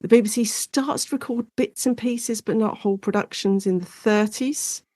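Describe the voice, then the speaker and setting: A British woman, an academic guest on a podcast, explaining her subject in an informal, animated and conversational way. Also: medium pitch, normal speed, no echo. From United Kingdom. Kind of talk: conversational expert interview.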